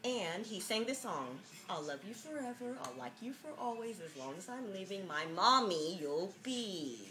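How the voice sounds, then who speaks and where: A woman's voice: medium pitch, very animated, normal speed, a crowd in the background. A woman giving a mock-dramatic reading of a children's picture book, with jokey, sardonic, giggly asides, on an amateur home video.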